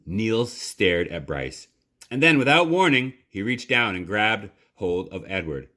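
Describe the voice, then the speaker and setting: A man reading a children's story aloud in a measured, dramatic, expressive narration. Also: fairly low pitch.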